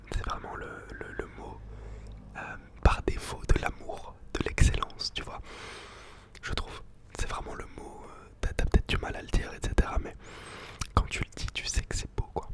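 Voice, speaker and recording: A man whispering softly and close for ASMR, slowly repeating foreign words with casual slang. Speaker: ASMR creator.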